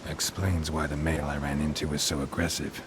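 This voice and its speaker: Very deep, flat monster hunter. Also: male voice, brisk, noisy background. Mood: grim, observing. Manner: deadpan, terse, clipped sentences. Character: gruff, world-weary, terse monster hunter, a long-lived man. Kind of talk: video game voice acting.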